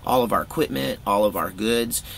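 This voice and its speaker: A man's voice: on the low side, a little fast, creaky, fairly bright tone, a little hiss or hum. A middle-aged American man telling a personal story casually, in a candid, folksy, rambling way.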